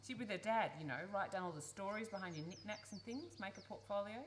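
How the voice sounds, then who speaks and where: A woman playing a pushy, organising adult daughter in a live radio play, brisk and persuasive, easily exasperated. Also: mid-range voice, natural voice. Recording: noisy live theatre recording.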